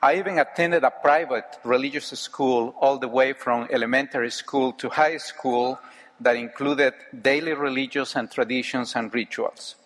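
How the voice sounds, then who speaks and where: A middle-aged man from Mexico, speaking English with a Mexican Spanish accent, giving an earnest, measured personal testimony speech. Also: medium pitch, steady tone, a bit bright. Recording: hall with long reverb.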